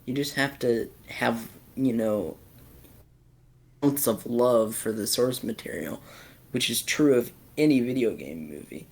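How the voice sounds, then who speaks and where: A man in a casual podcast chat, giving blunt opinions in a halting way and often repeating his phrases. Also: mid-range voice, animated. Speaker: podcast host discussing films and games.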